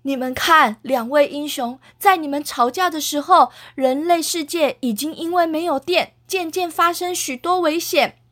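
A woman's voice: high voice, moderate pace, few pauses, fairly bright tone. A woman voicing an old grandmother Earth spirit in a children's story, pleading with two quarrelling heroes.

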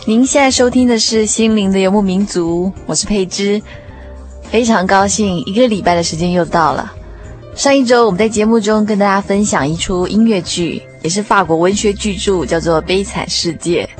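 A woman's voice: medium pitch, moderate pace, faint music behind. A woman hosting a radio show in a conversational style. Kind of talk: conversational hosting of a music segment.

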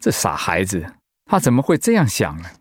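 A man's voice: fairly low pitch, huge pitch swings, fairly quick, slightly rough, no echo, clean studio sound, full character voice. A man acting in a radio drama as a humble, anguished pastor and devoted husband, speaking in a heartfelt, sighing way. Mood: sorrowful, helpless.

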